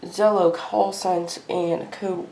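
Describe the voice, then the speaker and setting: A woman giving a casual, unscripted show-and-tell at home in a halting way, as a hobbyist vlogger. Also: on the low side, flat delivery, warm tone. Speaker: weather radio hobbyist vlogger. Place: indoor room at home. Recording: noisy homemade video with clicks.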